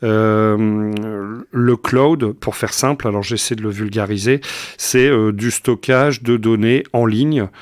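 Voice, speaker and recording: A man's voice: lowish voice, steady tone, slow, no echo, studio quality. Hesitant man hosting a podcast. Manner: hesitant, many fillers and restarts. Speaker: podcast host. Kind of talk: casual interview.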